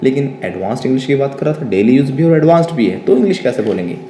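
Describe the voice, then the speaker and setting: A man's voice: medium pitch, lively intonation, mellow, echoey room, slight background noise. Indian man teaching spoken English. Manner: explains by repeating model sentences slowly, with frequent "ठीक है ना?" checks. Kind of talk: spoken English lesson in Hindi.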